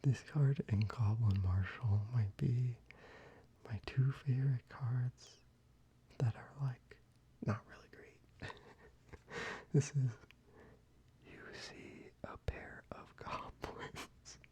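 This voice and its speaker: A man whispering softly into a close microphone in a soft-spoken ASMR video, with quiet chuckles along the way. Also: medium pitch, steady tone, slow pace, long pauses, breathy edge, highs cut off. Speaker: ASMR creator.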